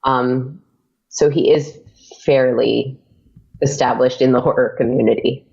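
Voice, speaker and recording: A woman talks easily as a podcast guest, her voice carrying a gravelly rasp. She is chatty, fills gaps with "um" and "like" and laughs often. The recording is dull and lo-fi. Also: extremely low pitch, steady tone, unhurried, mellow. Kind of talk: casual interview chat.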